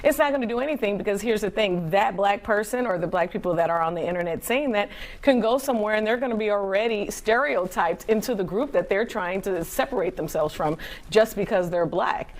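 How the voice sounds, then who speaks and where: A woman's voice: mid-range voice, a little fast. A woman on a debate panel, explaining her view firmly.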